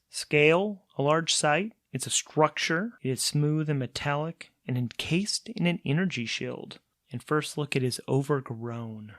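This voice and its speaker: A man's voice: a little high, dry recording, clean studio sound. A man narrating a solo tabletop role-playing game as host and player, thinking aloud between casual rules talk and storytelling.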